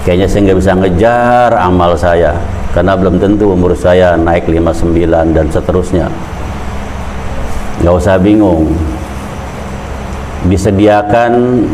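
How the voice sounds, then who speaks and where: Low-voiced man preaching casually. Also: warm tone, slight background noise, poor audio. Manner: conversational storytelling preaching, pitch rising when urging. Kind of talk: informal Islamic sermon.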